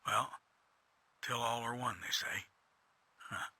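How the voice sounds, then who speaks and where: Gruff, rasping veteran robot soldier. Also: male voice, mid-range voice, steady tone, takes it slowly, sharp tone, no echo, exaggerated voice. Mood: solemn, tense. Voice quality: gravelly, rasping. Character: weary gruff veteran robot soldier, stoic, quietly sentimental. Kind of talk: in-character audio log monologue for a video game.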